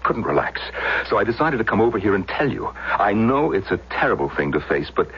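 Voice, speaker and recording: An actor playing an anguished doctor in a 1970s radio drama, speaking haltingly and emotionally to an old friend. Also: male voice, on the low side, big pitch swings, slightly rough, exaggerated voice. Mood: troubled confession. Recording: dull vintage radio recording.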